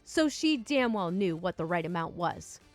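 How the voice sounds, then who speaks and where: A woman narrating online stories in an animated way, with exasperated, indignant asides. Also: lowish voice, moderate pace, no room sound, faint music behind. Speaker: storyteller reading online stories. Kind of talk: story narration with commentary.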